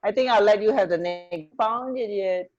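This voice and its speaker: A woman's voice: mid-range voice, moderate pace, darkish tone, lo-fi sound, soft background music. A woman from Singapore co-hosting a radio show, swapping trivia in a chatty, hesitant, giggly way. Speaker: radio co-host. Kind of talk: casual banter, sharing trivia.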